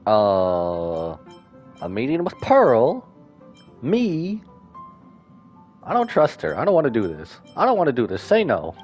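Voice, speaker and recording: A man streaming a game with casual, informal live reactions and expressive asides. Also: mid-range voice, measured pace, pauses often, dull audio. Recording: faint game music behind.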